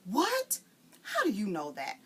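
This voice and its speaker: A woman voicing an excitable, indignant little girl in a storybook reading. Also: medium pitch, slow, husky, heavily acted. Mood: protesting.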